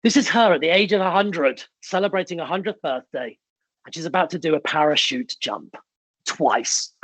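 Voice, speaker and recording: A man's voice: fairly high pitch, animated, moderate pace, a bit bright, slightly rough, no echo, poor audio. Emphatic man giving a motivational keynote. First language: Italian. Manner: emphatic, storytelling, punchy short phrases. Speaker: keynote speaker.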